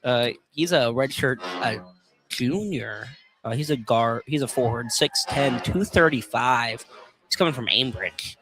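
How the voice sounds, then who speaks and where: A man giving casual analysis on a live college basketball radio broadcast, conversational and often halting as he searches for words. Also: medium pitch, music in the background. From northern, self-described Northerner. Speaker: basketball color commentator. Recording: noisy live sports broadcast with clicks.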